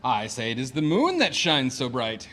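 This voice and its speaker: An actor playing a domineering, capricious husband in a Shakespearean comedy audio play, with a commanding, declamatory delivery. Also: male voice, mid-range voice, huge pitch swings, normal speed, bright, forceful tone. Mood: contrary, commanding. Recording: noticeable background noise.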